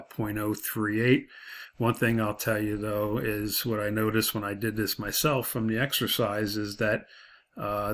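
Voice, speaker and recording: A man's voice: lowish voice, fairly bright tone. A man giving an off-the-cuff tutorial as an instructor, explaining step by step and rephrasing his points. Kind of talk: off-the-cuff tutorial walkthrough of a spreadsheet.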